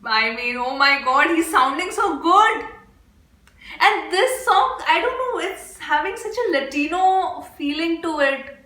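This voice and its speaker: A woman's voice: very high voice, expressive, measured pace, bright, forceful tone. A young woman with an Indian English accent hosting a casual music reaction vlog, gushing and exclamatory, chatting with fillers. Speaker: reaction video host. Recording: echoey room with faint background music.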